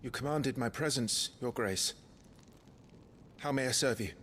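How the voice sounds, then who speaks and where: An actor playing a dutiful young noble knight with a British accent, formal and restrained, in a fantasy game. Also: male voice, medium pitch, unhurried, fairly bright tone, slight background noise, lightly acted. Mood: deferential. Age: young adult. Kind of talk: dramatic fantasy game acting.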